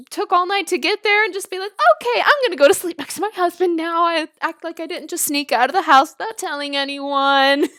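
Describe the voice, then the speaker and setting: A woman reading a story aloud and breaking off for casual, giggly, animated reactions of her own. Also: very high-pitched, dry recording, studio quality. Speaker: video creator reading and reacting to fan fiction.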